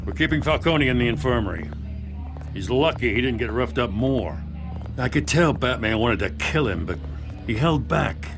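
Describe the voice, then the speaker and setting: A blunt police lieutenant. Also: male voice, medium pitch, normal speed, creaky, over music. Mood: steady. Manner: matter-of-fact, steady. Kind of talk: video game voice acting.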